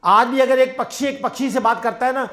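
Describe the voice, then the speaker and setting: A man's voice: very high voice, fairly even intonation, brisk, a bit bright. A man giving a devotional sermon, telling everyday parables and acting out the dialogue in a conversational way. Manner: conversational storytelling, acts out dialogue in his own voice. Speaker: satsang preacher.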